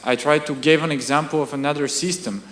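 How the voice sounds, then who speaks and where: A man's voice: a little high, fairly even intonation, quick pace, a bit bright. Bulgarian-accented young man, roomy hall. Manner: searching for words, hesitant. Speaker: audience member. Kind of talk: question from the audience. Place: lecture hall.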